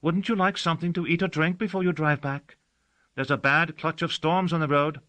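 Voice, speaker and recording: Man playing a turtle-girl housekeeper, high clear girlish voice, serene and formal, bright tone, dry audiobook sound. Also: fairly even intonation, brisk, no room sound. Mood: calm. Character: calm poised turtle-girl housekeeper, childlike.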